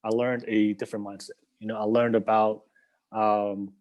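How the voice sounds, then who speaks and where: Chatty host who laughs a lot. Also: male voice, on the low side, little pitch movement, moderate pace, a little mellow. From Tonga. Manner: rambling, many fillers, laughs often. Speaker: podcast host. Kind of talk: casual interview chat.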